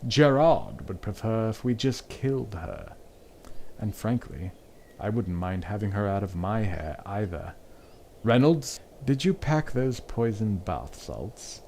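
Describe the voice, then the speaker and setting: A man's voice: lowish voice, expressive, slow, creaky, mellow, a little hiss or hum, heavily acted. A man playing a smug, lecherous, scheming Duke in an audio drama, speaking with mock courtesy and sarcasm. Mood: coolly scheming.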